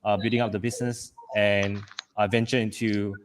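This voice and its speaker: A man's voice: on the low side, flat delivery, moderate pace. Hesitant man on a video call. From Malaysia. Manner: hesitant, many fillers and restarts. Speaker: panel speaker. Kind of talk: casual panel talk over a video call.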